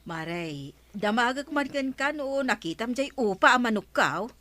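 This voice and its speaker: Radio comedy: chatty village official, higher animated voice, Ilocano Filipino accent. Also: female voice, normal speed. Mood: inquisitive. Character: chatty woman village official, the captain's aide. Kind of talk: radio comedy drama acting.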